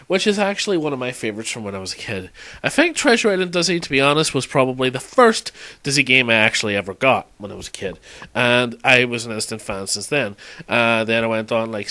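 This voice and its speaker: A man's voice: mid-range voice, fairly bright tone. Rambling, hesitant man reminiscing casually. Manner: hesitant, rambling, self-correcting. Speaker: retro game fan recording an audio log.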